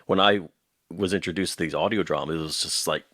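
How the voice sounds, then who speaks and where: This is a man with a deep, low voice hosting an interview. He talks in a conversational way, and the sound is dry, with very little room in it. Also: fast talker, a bit bright, dry recording. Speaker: interviewer, host.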